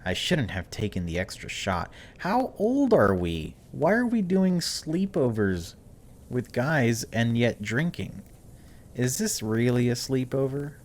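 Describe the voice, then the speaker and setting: A man's voice: mid-range voice, slight background noise. A man hosting a gaming video, reading story text aloud dramatically and breaking off for casual, wry asides.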